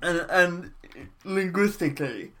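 Man, interviewer, gentle, encouraging, slow-paced, slightly high voice. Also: animated, a little hiss or hum. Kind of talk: casual interview.

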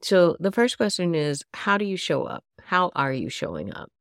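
Congested, nasal woman host, low and gravelly. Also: sing-song, normal speed, fairly bright tone, no echo, studio quality. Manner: casual, chatty, asks listeners many questions. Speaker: podcast host. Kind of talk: solo podcast talk to yoga teachers.

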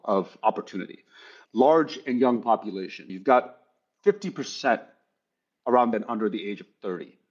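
A middle-aged man from Bangladesh who grew up partly in Texas, explaining his views thoughtfully in an interview, in measured, broken-up phrases. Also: on the low side, darkish tone, husky. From Bangladesh, then Texas. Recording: dull, lo-fi sound.

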